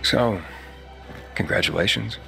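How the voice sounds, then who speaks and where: A man playing an earnest, hesitant young wanderer with a gravelly voice in a video game cutscene. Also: lowish voice, measured pace, rough recording. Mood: friendly. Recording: music behind the voice.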